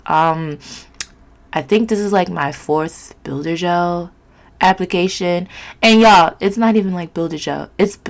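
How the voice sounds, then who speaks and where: A woman with a low, gravelly voice that sounds stuffed up and nasal from a cold. She chats through her nail routine in a loose, rambling way, full of fillers. Her tone stays candid and unpolished. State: congested, sniffly, nasal. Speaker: nail vlogger. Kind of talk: casual chatty tutorial vlog.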